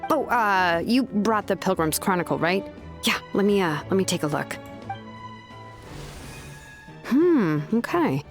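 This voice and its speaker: A practical, laid-back young artisan in an anime game, speaking casually. Her mid-pitched voice rises and falls freely over soft background music. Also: very animated, measured pace, pauses often. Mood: businesslike. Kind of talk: anime video game voice acting.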